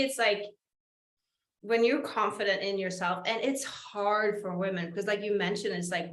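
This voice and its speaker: A bright, forceful female voice with a Swedish accent comes through in an echoey room. She hosts in a casual, expressive way, often interrupting herself mid-thought. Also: mid-range voice. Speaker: interview host. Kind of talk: casual chat.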